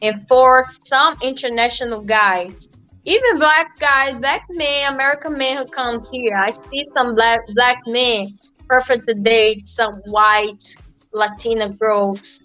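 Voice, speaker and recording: A woman from Brazil with a Brazilian Portuguese accent, speaking English as a second language. Her voice is fairly high and very bright. She explains each point earnestly and repeats herself, over dry, dull lo-fi audio. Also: takes it slowly, soft background music. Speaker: guest, lawyer. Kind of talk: casual interview answers.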